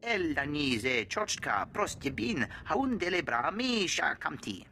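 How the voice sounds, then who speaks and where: A man's voice: fairly high pitch, sing-song, unhurried, gravelly, bright, forceful tone, slight background noise, full character voice. A man playing an emphatic alien commander in a video game, speaking expressively in an invented language. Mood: earnest.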